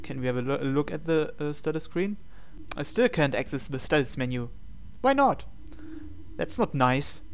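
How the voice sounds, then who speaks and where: A man reading visual novel text aloud as a measured, dramatic storyteller and host. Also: fairly high pitch, slight background noise.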